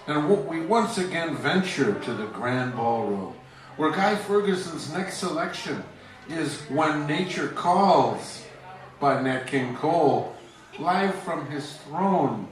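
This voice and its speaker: A man's voice: fairly high pitch, lively intonation, slow pace. A man playing a showy old-time radio announcer in a parody broadcast, introducing things in a hammy, theatrical way. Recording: distant, very echoey, music behind.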